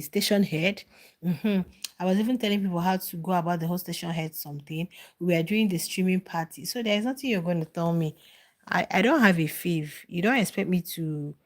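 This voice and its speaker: A middle-aged woman with a fairly low voice chats through a live stream. She answers viewer comments as they arrive and repeats phrases to drive her point home. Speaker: reality show commentator.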